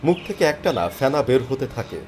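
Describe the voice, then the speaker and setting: Animated male storyteller over music. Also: mid-range voice, a little fast. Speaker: story narrator. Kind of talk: dramatic first-person scripted narration. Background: background music.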